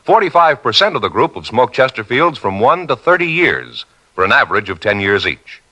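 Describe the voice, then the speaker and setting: A man's voice: medium pitch, very animated, a little fast, pushed and bright, rough recording. A man reading a 1950s radio commercial as a formal, emphatic announcer. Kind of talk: 1950s radio advertising read. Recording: 1950s radio broadcast recording.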